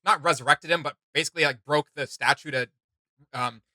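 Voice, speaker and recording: A man's voice: on the high side, brisk, pushed and bright, no echo. A man hosting a reaction video, thinking aloud in casual, rambling commentary.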